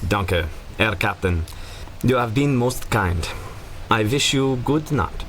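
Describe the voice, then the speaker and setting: A man playing an anxious, formal and troubled German gentleman traveller in a radio drama. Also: fairly low pitch, lively intonation, slow pace, a little hiss or hum. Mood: polite unease.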